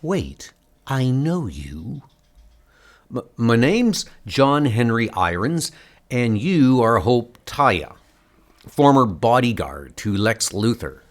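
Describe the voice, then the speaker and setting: A determined, troubled hero, acted by a man for a comic dub. He speaks slowly and earnestly, pressing his point with a moving pitch. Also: sing-song. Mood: urgent. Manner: earnest, insistent. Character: determined, anguished male superhero searching for his niece. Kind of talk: comic book dub acting.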